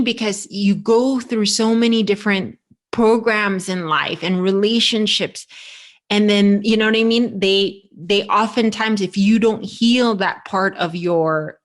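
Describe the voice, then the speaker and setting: Rambling American woman in her forties. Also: medium pitch. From United States. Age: 40s. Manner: rambling, restarts sentences often. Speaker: podcast host. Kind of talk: casual podcast conversation.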